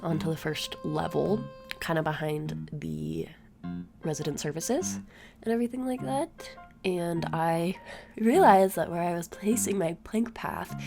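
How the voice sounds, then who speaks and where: A young woman with a stuffy, sore-throated voice rambles through a relaxed voice-over from her chair at home. Her tone sits slightly low and has a gravelly fry, and her chatter sounds tired. Soft music plays faintly underneath. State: sick, congested, sore throat. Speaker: gaming YouTuber. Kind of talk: casual voice-over commentary. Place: home room. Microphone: desk mic, recorded at her chair.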